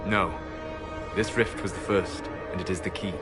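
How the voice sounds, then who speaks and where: Guy acting as grave learned mage, lowish voice, fantasy game, music underneath. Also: steady tone, normal speed, lightly acted. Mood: calm, grave. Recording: music behind the voice.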